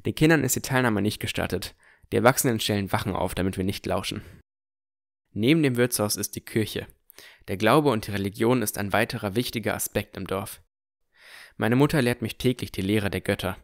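An audio drama narrator played by a man, an earnest and curious boy adventurer. He tells his story in measured, descriptive phrases. The voice sits fairly low and sounds dry, with little room. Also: dry recording, natural voice. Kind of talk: scripted first-person audio drama narration.

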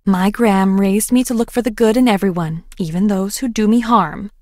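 A guarded young woman scientist played by a game actress talks plainly and earnestly, with folksy turns of phrase. Her voice sits at a middle pitch in a close, dry recording. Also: moderate pace, a little mellow, no echo, natural voice. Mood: wry, frank. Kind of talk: video game voice acting.

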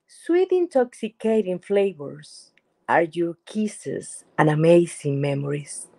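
A middle-aged woman speaking recites her own poetry at an unhurried, deliberate pace. Her delivery rises and falls with feeling. She is heard through a laptop webcam from a room at home. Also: mid-range voice. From USA. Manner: slow, expressive recitation. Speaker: poet. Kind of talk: poetry reading. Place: home room with lamp and shelves. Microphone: webcam on video call.

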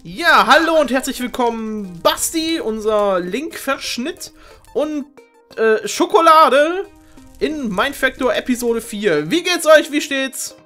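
A man's voice: extremely high pitch, expressive, slow, pushed and bright, soft background music. Playful male game show host, exclamatory. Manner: joking, exclamatory, theatrical.

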